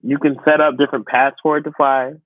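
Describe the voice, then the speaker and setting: A man's voice: mid-range voice, level tone, fast, no echo. A man co-hosting a podcast in casual chat, opinionated and incredulous in the way he talks. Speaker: podcast co-host. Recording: thin phone-like radio sound, dry.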